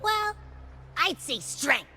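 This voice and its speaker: A woman's voice: very high voice, big pitch swings, takes it slowly, pushed and bright, soft background music, full character voice. A woman voicing a perky, candid little companion in a fantasy video game, chirpy and casual in manner. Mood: frank, perky. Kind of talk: animated video game dialogue.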